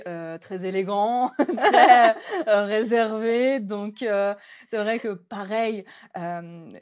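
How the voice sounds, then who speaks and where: A woman, a music producer guest, speaking gently with many hesitations. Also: mid-range voice. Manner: hesitant, many "euh" pauses, kind and gentle. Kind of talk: casual podcast conversation.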